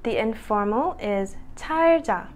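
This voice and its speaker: A woman's voice: fairly high pitch, animated, moderate pace, a little mellow. A young woman in her twenties talking casually to the camera at home, chatty and upbeat, laughing at herself now and then. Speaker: vlogger.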